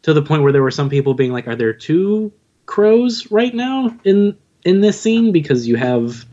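Halting man on a dull, lo-fi recording. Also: fairly high pitch, big pitch swings, dark tone. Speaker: podcast guest, audio drama writer and narrator. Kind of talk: casual interview chat. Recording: dull, lo-fi audio.

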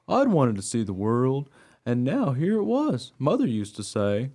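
A man's voice: medium pitch, measured pace, exaggerated voice. A man playing a friendly, chatty young-hearted dog who tells his own life story earnestly in a radio play. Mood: wry, reflective. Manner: earnest, excitable, naive storytelling. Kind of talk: scripted radio play narration.

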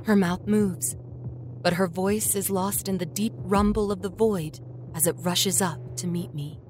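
A woman narrating a first-person horror story with deliberate, measured, dramatic intensity. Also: lowish voice, fairly even intonation, darkish tone, slight background noise. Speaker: storyteller, voice actor.

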